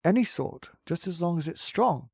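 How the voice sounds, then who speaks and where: A storybook reader voicing a calm, thoughtful young boy, hesitant at times but firm. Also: mid-range voice, moderate pace, breathy edge, dry recording, clean studio sound, voice pushed up.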